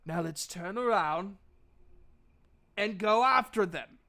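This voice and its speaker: A man's voice: high-pitched, slow pace, vocal fry, pushed and bright, exaggerated voice. A man voicing a frenzied rat pack leader who pleads with and commands his family in a tabletop game. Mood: urgent rallying.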